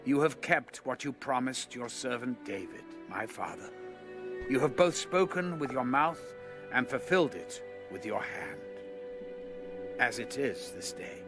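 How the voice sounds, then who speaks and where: Expressive man reading scripture over music. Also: mid-range voice, unhurried. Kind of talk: dramatised audio Bible reading. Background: music bed.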